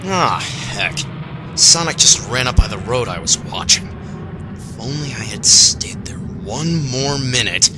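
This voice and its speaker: Robot villain, male actor: slow, formal, methodical, easily irked; echoey, noisy radio drama recording. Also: mid-range voice, lively intonation, close to an everyday voice. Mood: exasperated. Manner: deliberate, formal, clipped phrasing. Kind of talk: amateur radio drama acting.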